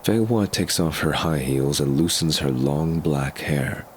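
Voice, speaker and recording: A man's voice: a bass voice, measured pace, a little hiss or hum. A man reading scripted narration for an audio drama.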